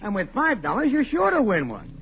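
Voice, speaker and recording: An actor playing a sly, cocksure wisecracking neighbor, boastful and needling, in 1940s radio comedy style. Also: male voice, very high-pitched, huge pitch swings, a little fast, breathy edge, exaggerated voice. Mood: slyly coaxing. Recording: muffled old-time radio recording, faint hiss.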